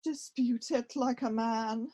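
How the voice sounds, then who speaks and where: A woman's voice: a little high, steady tone, slow, warm tone. A woman playing an earnest, resolute young prince in an amateur Shakespeare radio play, with an exhorting delivery. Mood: urging. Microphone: recorded over video call.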